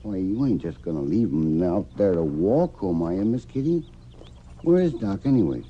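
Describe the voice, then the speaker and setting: A man's voice: low voice, animated, moderate pace, dark tone. An actor playing a kindly, inquisitive frontier stableman with a folksy rural American Western drawl in an old-time radio drama. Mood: concerned, puzzled. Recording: dull, faintly noisy old radio.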